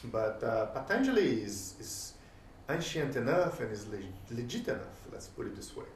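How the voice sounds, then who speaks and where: Man, Portuguese native speaker, thoughtful host, pauses often, distant mic, echoey room. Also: mid-range voice, big pitch swings, a little hiss or hum. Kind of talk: reflective solo talk. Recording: roomy, distant from the mic, faint ambience.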